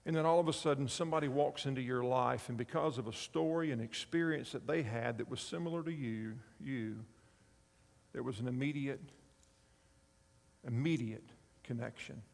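Gravelly pastor preaching in a reverberant hall. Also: male voice, mid-range voice, normal speed. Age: 50s. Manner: earnest storytelling, asks the congregation along. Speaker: pastor and chaplain. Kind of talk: sermon.

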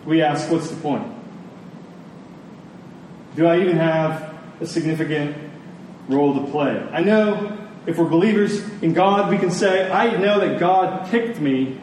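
A man preaching a sermon as a pastor, conversational and earnest, with rhetorical questions and emphatic pauses. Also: on the high side, a little mellow. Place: large room, preaching to a congregation. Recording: echoey room, distant mic, faint noise.

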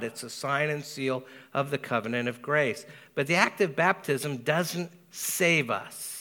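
A man preaching a sermon as pastor, speaking earnestly with a Spanish accent and slowing down to stress key words. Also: a little high, bright, forceful tone. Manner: earnest, measured preaching with emphatic slow stresses.

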